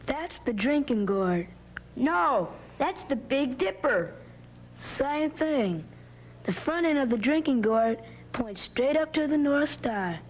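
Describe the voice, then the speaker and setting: A woman's voice: slow pace, slight background noise, rough recording. Woman doing an eager young boy. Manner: high, excited questions. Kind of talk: storybook reading.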